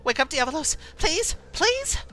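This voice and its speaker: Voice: high-pitched, sing-song, a little slow, pushed and bright, voice pushed up, exaggerated voice. A storyteller voicing a desperate, devoted girl with urgent, emotional pleading, as in an adventure tale.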